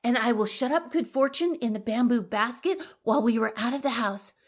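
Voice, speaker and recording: A high-pitched, fussy housekeeper, acted by a woman in a storybook reading. The manner is deferential and eager, that of a servant talking to an employer. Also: fairly quick, lightly acted. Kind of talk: dramatized audiobook reading.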